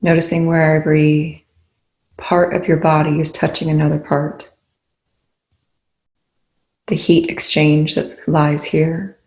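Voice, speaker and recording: A meditation instructor speaking in a soothing, unhurried way with long pauses, guiding a body awareness practice. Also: medium pitch, monotone, a little mellow. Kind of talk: guided meditation. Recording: echoey room.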